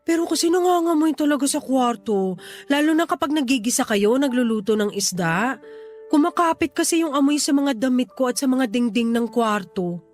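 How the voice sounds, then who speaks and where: A woman's voice: sits high, expressive, normal speed. A young woman with a Filipino accent playing a considerate but insistent neighbour in a radio drama, with music underneath. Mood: hesitant, explaining.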